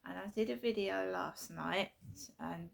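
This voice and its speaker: Gravelly middle-aged woman. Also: on the low side, a little slow. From South London, England. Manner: repetitive, emphatic, earnest storytelling. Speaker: vlogger. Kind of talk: casual personal vlog. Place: her flat at night.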